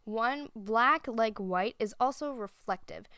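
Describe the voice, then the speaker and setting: A woman giving a relaxed voice-over, explaining her painting steps as she thinks aloud. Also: on the high side, takes it slowly, smooth, no room sound, clean studio sound. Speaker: art instructor. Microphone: voice-over recorded separately.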